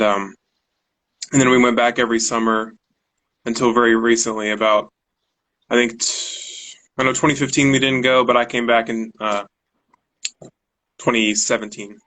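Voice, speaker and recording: Male guest from the US, easygoing, rambling, average pitch. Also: rough recording. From United States. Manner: relaxed, rambling, filler-heavy. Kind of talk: casual online conversation. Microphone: video call.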